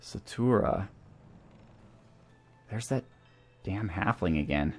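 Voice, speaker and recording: Low-voiced man commentating a strategy game. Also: expressive, takes it slowly. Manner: talkative, thinking aloud. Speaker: gaming channel host. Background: video game music.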